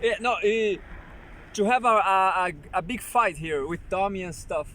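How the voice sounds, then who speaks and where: A man hosting his own channel, casually reading an article aloud with halting restarts and joking asides. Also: high voice, bright, forceful tone, slight background noise.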